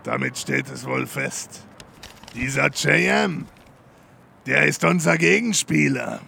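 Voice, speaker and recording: A crude, cocky detective from a comedy audio drama, played by a man. His voice is heavily creaky and sharp-edged, and he draws his words out slowly and theatrically. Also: fairly high pitch, soft background music, full character voice. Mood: gruff, musing. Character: crude, cocky one-eyed police detective, male.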